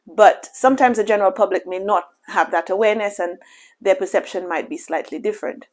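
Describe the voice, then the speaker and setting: A woman from Lucia, a doctor giving measured, reassuring career advice in a casual vlog, often saying "you know.". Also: mid-range voice, darkish tone.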